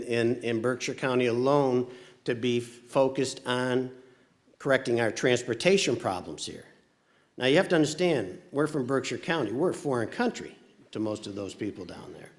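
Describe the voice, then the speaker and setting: A man making emphatic panel remarks, often repeating words as he starts a sentence. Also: mid-range voice.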